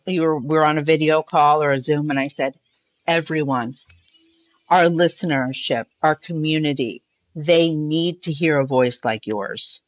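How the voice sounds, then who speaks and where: A woman's voice: deep voice, unhurried, no room sound, muffled recording. A woman co-hosting a podcast, casually recollecting and explaining as an interview guest. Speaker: podcast co-host being interviewed.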